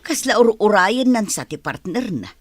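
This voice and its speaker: A woman's voice: medium pitch, very animated, moderate pace. A woman acting a snide, skeptical household worker in an Ilocano radio drama.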